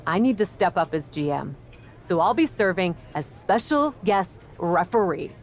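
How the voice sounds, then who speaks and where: A woman's voice: fairly low pitch, expressive, normal speed. An actress playing a brash, vain young woman who manages wrestling shows, with a smug, sardonic, theatrical delivery in a video game cutscene. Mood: resolute.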